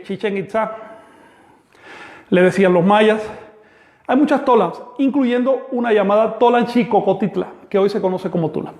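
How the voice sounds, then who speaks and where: A man's voice: high voice, darkish tone. Man teaching in an echoey room. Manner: slow, deliberate explanations, spelling out terms. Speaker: language teacher. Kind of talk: online lecture.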